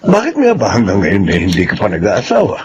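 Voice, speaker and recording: A man's voice: lowish voice, huge pitch swings, lo-fi sound, slight background noise. A man playing a kindly older father and boss. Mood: fatherly. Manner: fatherly and deliberate, with soft chuckles. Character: wealthy older father and company boss, generous and fond. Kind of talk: radio drama acting.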